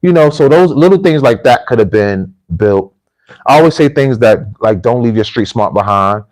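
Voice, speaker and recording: An American man hosts a casual livestream in a medium-pitched voice. He speaks informally and directly to his viewers. He often circles back and repeats a phrase to stress it. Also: animated, moderate pace, a little mellow, poor audio. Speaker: livestream host and repatriation coach. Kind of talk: casual livestream talk, addressing viewers and telling anecdotes.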